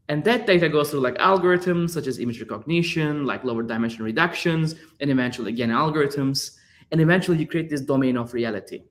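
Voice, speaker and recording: A man in his thirties from Turkey with a Turkish accent, answering interview questions in a searching way, with frequent fillers and repeated words. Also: medium pitch. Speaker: guest artist.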